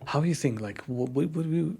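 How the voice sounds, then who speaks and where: A man's voice: a little high, brisk, vocal fry, dark tone, slightly rough. A man hosting an interview in a language that is not his first, often restarting and searching for words. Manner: searching for words, non-native grammar. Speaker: host. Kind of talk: interview questions.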